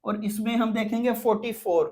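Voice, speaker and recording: A woman's voice: a little high, brisk, mellow. Pakistani woman teaching step by step. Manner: repetitive, step-by-step, checking in often. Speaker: law exam prep teacher. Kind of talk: informal lecture, explaining.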